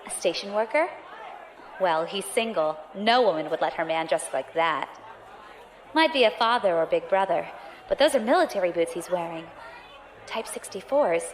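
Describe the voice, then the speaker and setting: An actress playing a brash young space pirate, quick, sarcastic and observant. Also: female voice, fairly low pitch, lively intonation, a faint crowd, a little hiss or hum, lightly acted. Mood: cocky. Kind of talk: audio drama acting.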